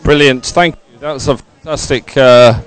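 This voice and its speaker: A man's voice: medium pitch, steady tone, normal speed, smooth, rough recording. A man doing an encouraging, congratulatory on-the-spot interview at an outdoor sports event.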